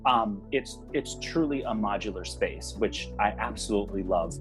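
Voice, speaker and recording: A man's voice: on the low side, moderate pace, over music. A young man from Hawaii, a small business owner, chatting casually in an interview with lots of ums and easy laughter. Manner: relaxed, many ums, laughs easily. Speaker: venue owner, guest.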